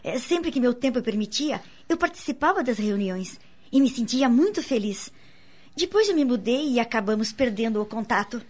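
A woman's voice: fairly high pitch, expressive, normal speed, darkish tone. A woman with a Brazilian accent playing an anxious, insecure wife in a radio soap opera, earnest and formal in her melodramatic delivery. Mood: hesitant, curious. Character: anxious, insecure young wife and mother, pleading. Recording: dull lo-fi radio drama, music underneath.

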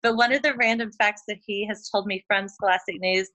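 Woman, 40s, from Oklahoma, bright tone, casual chat, filler-heavy, video call. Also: mid-range voice, steady tone, brisk, low-quality recording. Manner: chatty, many "like" fillers, laughs often. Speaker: guest.

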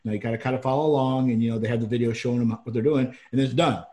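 A man with a faint Southern drawl talks in a loose, rambling way, often restarting his sentences. His voice sits at an ordinary middle pitch, and he chats casually as a co-host. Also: very fast. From Georgia, US. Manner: rambling, animated, frequent restarts. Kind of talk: casual chat.

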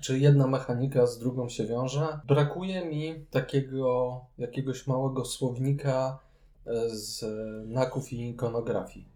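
A man's voice: mid-range voice, steady tone, a little slow, mellow. A man chatting casually about board games as a podcast co-host. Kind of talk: casual board game discussion.

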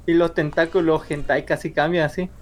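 A man's voice: fairly high pitch, flat delivery, fairly quick. A man co-hosting a casual anime chat, cracking crude jokes and often restarting his sentences. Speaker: podcast co-host.

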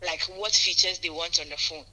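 A woman caller with a very bright, sharp-edged voice set a little low for a woman. She chats informally. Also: steady tone, fairly quick, low-quality recording. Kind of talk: casual chat. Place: on the other end of a phone call.

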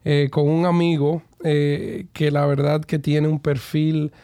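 An enthusiastic man hosts a podcast from a booth, his voice pitched a little high for a man yet soft and mellow in tone. The sound is dry and close, with no room around it. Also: level tone, slow, dry recording. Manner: upbeat and enthusiastic, with frequent hesitation sounds. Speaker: podcast host. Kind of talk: podcast interview, reading a guest introduction. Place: recording booth.

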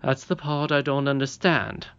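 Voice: medium pitch, moderate pace, gravelly, fairly bright tone, clear, resonant tone, muffled recording. A reader voicing a polite young miner boy who is doubtful and plain-spoken, in a storybook.

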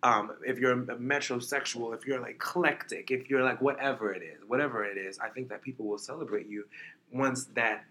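A man's voice: mid-range voice, fairly even intonation, fairly quick, pushed and bright. A man in his thirties from New York, chatting easily as an interview guest and filling his talk with "like" and "you know". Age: 30s. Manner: chatty, laid-back, peppered with "like" and "you know". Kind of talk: casual chat.

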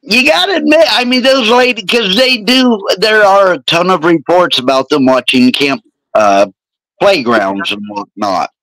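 A man in his forties with a slightly raspy voice, hosting a casual podcast chat with crude jokes. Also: sits high, expressive, a little slow, a bit bright, no echo, rough recording. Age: 40s. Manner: joking, crude, rambling. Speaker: podcast host.

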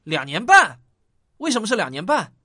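A man playing a doting, excitable scientist father in a radio drama, stammering in disbelief. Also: sits high, moderate pace, exaggerated voice. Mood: indignant disbelief.

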